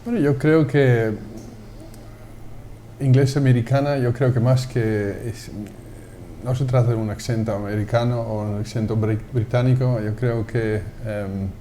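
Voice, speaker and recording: A Dutch man speaking with a Dutch accent, a guest in a TV studio interview, relaxed and searching for words as he explains. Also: mid-range voice, measured pace, a little hiss or hum. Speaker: guest, company CEO.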